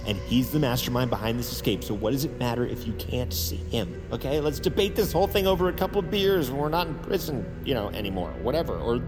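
An actor playing a frantic, wisecracking leader of an escape, rambling and stammering in a naturalistic audio drama. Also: male voice, medium pitch, natural voice. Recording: music underneath.

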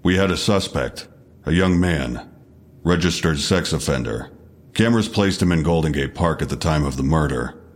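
An unflappable male detective, with an extremely deep voice. He speaks in a measured, official way, probing steadily as in an audio drama interrogation. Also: animated. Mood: grave, insinuating.

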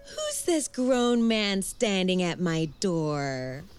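A woman's voice: medium pitch, sing-song, slow, a little mellow, slight background noise. A woman acting a chatty, caring, teasing aunt in a naturalistic audio drama.

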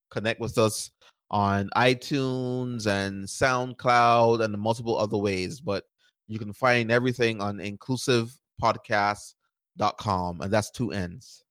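A startup founder from Barbados in his thirties, answering interview questions in a relaxed way, repeating words and using lots of fillers. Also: medium pitch, no echo. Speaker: startup founder and podcast guest.

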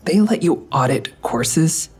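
An actress playing a hard-edged, skeptical woman interrogating an enemy in an audio drama, with a husky voice and terse, pointed, scornful questions. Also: low-pitched, lively intonation, takes it slowly, darkish tone, full character voice. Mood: accusing. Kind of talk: full-cast audio drama acting.

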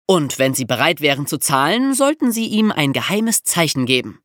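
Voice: medium pitch, sing-song, moderate pace, fairly bright tone, dry recording, clean studio sound. A podcast host telling a story in a colloquial, conversational way, with rhetorical questions. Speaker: podcast host telling true crime stories.

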